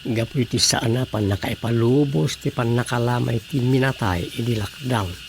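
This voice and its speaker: A man's voice: mid-range voice, normal speed, vocal fry, background noise, close to an everyday voice. A man acting in an Ilocano radio drama as a chatty, reflective village man, with an Ilocano Filipino accent. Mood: somber, reflective.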